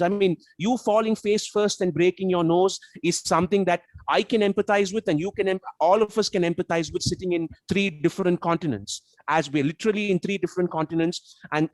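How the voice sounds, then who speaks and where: A man who grew up speaking Tamil talks through his argument as a guest reviewer. His voice sits somewhat high and the sound is dry. He reasons carefully, often doubling back on his words as he goes. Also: dry recording. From South Asia, Tamil-speaking region. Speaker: guest reviewer on a book discussion. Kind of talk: casual analytical discussion.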